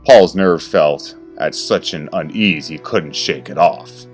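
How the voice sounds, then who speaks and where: Male narrator, low-pitched, scripted horror fiction, faint music underneath. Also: takes it slowly.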